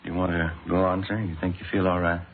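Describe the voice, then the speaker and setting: An actor playing a mild police detective, low-key and conversational, in a vintage radio drama. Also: male voice, level tone, quick pace. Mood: gentle, questioning. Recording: tinny, noisy vintage radio recording.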